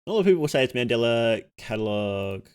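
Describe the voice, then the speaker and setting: Male livestream reactor, medium pitch. Casual, unscripted commentary, often questioning himself and reasoning things through aloud. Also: very animated, quick pace, clear, resonant tone. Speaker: streamer reacting to horror videos.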